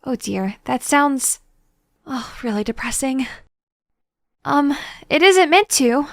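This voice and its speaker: A woman playing a shy, gentle, bookish young scientist in an audio drama, hesitant and politely awkward in her delivery. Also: sits high, lively intonation, slow, dry recording, studio quality, exaggerated voice. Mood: awkward, earnest. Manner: hesitant, flustered, polite, wordy. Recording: music under the voice.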